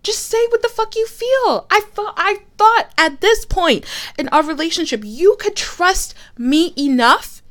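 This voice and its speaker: A woman's voice: extremely high pitch, animated, unhurried, fairly bright tone, full character voice. A young woman voicing a frustrated girlfriend who swears in exasperated outbursts while fighting with her partner. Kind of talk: one-sided roleplay audio.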